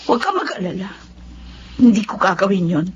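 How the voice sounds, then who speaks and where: An actress playing a bitter, betrayed Filipino wife in a radio drama, accusing and emphatic. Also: female voice, mid-range voice, big pitch swings, fairly quick, a little hiss or hum, lightly acted. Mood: cold accusation. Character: wounded, bitter betrayed wife confronting her husband. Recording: dull lo-fi sound, music underneath.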